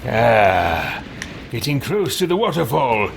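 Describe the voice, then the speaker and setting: A man hosts a let's play, musing casually and playfully to himself. His voice is mid-pitched, with noticeable game ambience behind it. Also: big pitch swings, takes it slowly. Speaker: gamer hosting a let's play. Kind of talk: casual video game commentary. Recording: clear game noise behind voice. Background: video game ambience.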